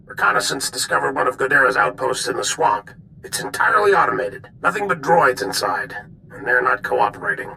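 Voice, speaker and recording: An actor playing a brisk Republic military commander in a video game, giving clipped, authoritative briefings. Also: male voice, mid-range voice, sing-song, gravelly, bright, forceful tone, a little hiss or hum, full character voice, poor audio. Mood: businesslike urgency.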